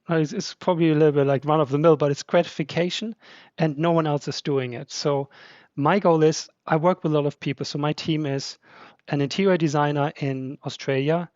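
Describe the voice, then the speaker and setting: Man, non-native English speaker, chatty, slightly high voice, dry dull recording. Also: a little mellow, dry recording. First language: not English. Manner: chatty, many "like" fillers. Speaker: podcast guest, translator and publisher. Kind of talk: casual conversation.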